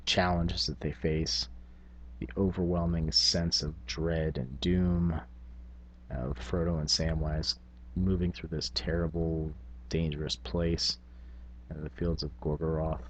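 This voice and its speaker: A man's voice: sits low, slight background noise. A man talking casually and at length as the host of a tabletop gaming video. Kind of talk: casual rambling talk.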